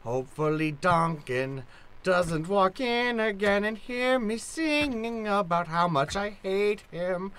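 Woman acting elderly Cockney maid, unhurried, bright voice, sing-song muttering, radio comedy, faint noise. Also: medium pitch, expressive, clear, resonant tone. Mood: sing-song, grumbling. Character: old weary Cockney maid, crazy, singing, grumbling. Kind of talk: improvised old-time radio play acting.

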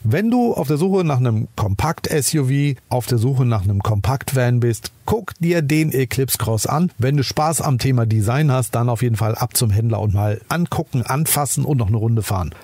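A man's voice: mid-range voice, animated, normal speed, few pauses, darkish tone, slightly rough, no echo. A man with a German accent hosting a car podcast, chatting enthusiastically and speaking straight to the listener. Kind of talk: casual car review monologue.

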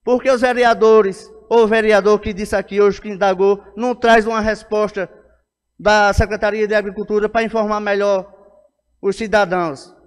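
A man's voice: high-pitched, level tone, normal speed, smooth. A man in his forties with a Northeastern Brazilian accent, giving an emphatic, insistent political speech as a city councillor at a podium microphone. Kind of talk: political speech in a plenary session. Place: indoor council chamber at a lectern. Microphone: gooseneck podium microphone. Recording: echoey hall with long reverb.

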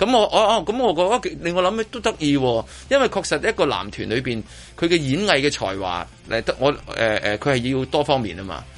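An animated middle-aged Hong Kong man in casual radio banter. He talks quickly, with a lot of movement in his pitch, and rambles, circling back over his own phrases. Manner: rambling, repeats phrases as he goes. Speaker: radio host. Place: radio studio.

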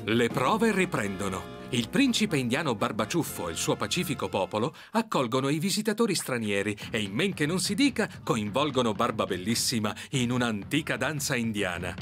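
A man reads scripted narration for a children's cartoon. His mid-pitched voice moves expressively up and down like a storyteller's, with music clearly behind it. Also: very animated, normal speed, hardly any pauses.

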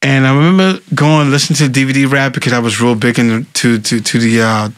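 A man's voice: mid-range voice, steady tone, quick pace, clear, resonant tone, no room sound. A man in his thirties from Philadelphia, a politician guest talking casually and emphatically, often saying "right?" and "facts". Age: 30s. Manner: emphatic, repeats words and says "right?" and "facts". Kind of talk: casual interview talk. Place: small studio with brick wall. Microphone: desk mic on boom arm.

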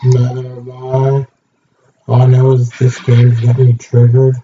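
A man's voice: mid-range voice, monotone, measured pace, dark tone, muffled recording, slight background noise. A man chiming in with dry, sarcastic asides while a friend plays a game. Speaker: co-host friend. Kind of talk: casual chat.

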